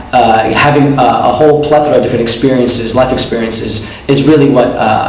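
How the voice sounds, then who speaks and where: A man who founded an admissions consultancy, answering interview questions in an earnest, reflective way with frequent "uh" and "um" pauses. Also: medium pitch, slight background noise. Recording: very echoey room, mic at a distance, faint ambience.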